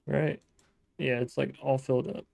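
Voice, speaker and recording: A man with a gravelly, mellow voice chats his way through a modded building game, musing aloud and correcting himself as he plays. The sound is dry and close to the mic. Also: mid-range voice, fairly even intonation, moderate pace, no room sound. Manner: chatty, thinking aloud, frequent restarts and self-talk. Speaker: gaming let's-play host. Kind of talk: casual gameplay commentary.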